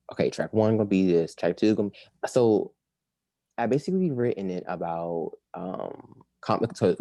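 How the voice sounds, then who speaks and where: A man talking casually about his music in an interview, rambling and animated, his voice a little raspy. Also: on the low side, no room sound. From Maryland. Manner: rambling, animated, breaks into song. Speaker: musician guest.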